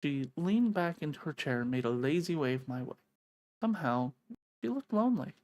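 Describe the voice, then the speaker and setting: An expressive, excitable streamer reading a visual novel aloud in a dramatic way, with casual sweary reactions. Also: mid-range voice, moderate pace, no room sound.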